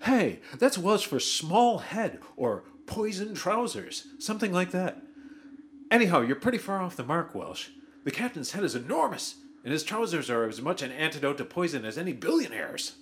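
A man's voice: a little high, big pitch swings, moderate pace, a little hiss or hum. An actor playing a greedy, self-important starship crewman in a sci-fi parody audio drama, whiny and melodramatic. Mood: indignant.

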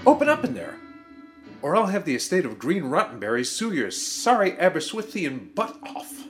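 A greedy, scheming spaceship crewman, played by a man, whining and squealing in a comedy audio play. The voice runs high and swoops all over, over a faint music bed. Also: huge pitch swings, normal speed. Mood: complaining. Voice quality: whiny, swings into giddy squeals. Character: greedy whiny male crewman, excitable and scheming.

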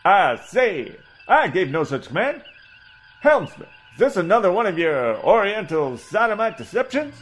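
A man playing a pompous old ship's doctor, talking in a florid, preachy drawl. The voice sits high and swings widely. Faint music plays under this comedy space audio drama. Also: very animated, normal speed, a bit bright.

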